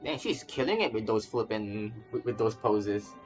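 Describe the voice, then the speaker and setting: A man's voice: lowish voice, animated, normal speed. A man streaming a game with casual live commentary, rambling and stammering as he repeats his phrases. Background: game music.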